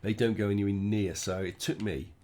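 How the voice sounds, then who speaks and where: A man talks through his racing game in a relaxed, chatty way, his voice croaky and stuffed up from a cold. He sits a little low in pitch and keeps thinking out loud as he drives. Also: a little fast. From United Kingdom. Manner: relaxed, chatty, thinking aloud while driving. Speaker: gaming channel host. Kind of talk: casual gameplay commentary.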